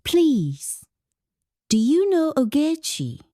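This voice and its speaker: A woman's voice: high voice, huge pitch swings, slow pace, mellow, no room sound, studio quality, pitched above the performer's own voice, exaggerated voice. A woman with a Nigerian accent voicing an anxious young woman in a folktale, polite but determined.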